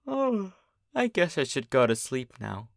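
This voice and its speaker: A performer voicing a bookish young female pony, anxious and easily exasperated, in an expressive cartoon-style story reading. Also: mid-range voice, unhurried, gravelly, dry recording, clean studio sound, exaggerated voice. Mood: sleepy, muttering. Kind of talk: animated character acting in a fan fiction reading.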